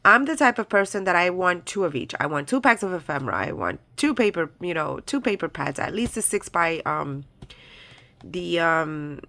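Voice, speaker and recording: A woman with a stuffed-up, nasal voice from a head cold, rambling casually as she shows off her craft purchases. Her tone is bright and a little sharp, and she sits on the lower side of the usual pitch range for a woman. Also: big pitch swings, moderate pace, dry recording. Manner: chatty, rambling with many ums and likes. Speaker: crafting channel host. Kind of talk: casual haul video chat.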